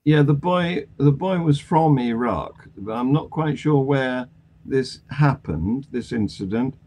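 A man hosting a news discussion on a video call, with a halting delivery. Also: medium pitch, dark tone. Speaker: panel show host. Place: indoor home room on a video call.

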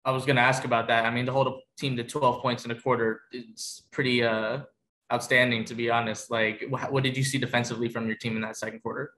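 A man asks questions as a sports reporter. His mid-range voice stays fairly monotone, and his phrasing is informal and a little hesitant. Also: medium pitch, fast talker, a little hiss or hum, poor audio. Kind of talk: casual press-conference questioning.

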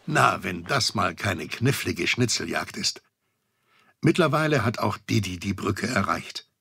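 A man's voice: fairly low pitch, lively intonation, measured pace, vocal fry, bright, forceful tone, slightly rough, no room sound, full character voice. A middle-aged man narrating a children's audio adventure as a genial storyteller. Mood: genial, wry. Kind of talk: scripted narration.

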